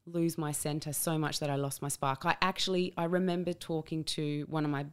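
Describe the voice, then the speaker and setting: A woman's voice: deep voice, steady tone, fairly quick, smooth. A woman in her thirties, co-hosting a podcast and speaking emphatically and forthrightly about her own life, swearing for emphasis. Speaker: podcast co-host. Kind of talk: candid conversational podcast chat.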